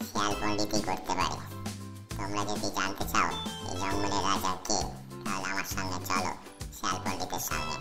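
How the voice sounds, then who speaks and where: A woman plays a little cartoon bird with a very low pitch for a woman. The creature is a sensible peacemaker, coaxing and advising, with clear music underneath. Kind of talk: children's cartoon voice acting in Bengali fable.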